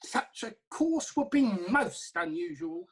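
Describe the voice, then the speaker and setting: A woman playing a prim, deferential older male court clerk, husky and hesitant, in a staged reading of a farce. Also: medium pitch, big pitch swings, slow, exaggerated voice. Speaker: actor.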